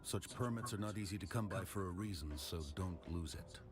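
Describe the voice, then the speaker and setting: Actor as low-voiced stern swordmaster over music. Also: male voice, natural voice. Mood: stern, instructive. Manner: measured, formal. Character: stern, measured swordmaster teaching weapon forging. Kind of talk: video game dialogue acting. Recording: music playing behind the voice. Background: game music.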